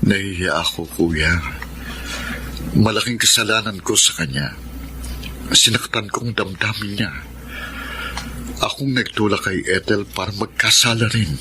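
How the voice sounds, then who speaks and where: An actor playing a hot-tempered, guilt-ridden middle-aged husband in a Filipino radio drama. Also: male voice, lowish voice, unhurried, long pauses, bright, forceful tone, a little hiss or hum. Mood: subdued, ashamed.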